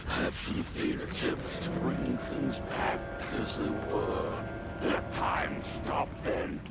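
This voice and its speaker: A man voicing a sinister, tempting tar demon in an animated horror game, gravelly, with theatrical, drawn-out menace. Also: mid-range voice. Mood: taunting. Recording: loud music behind the voice.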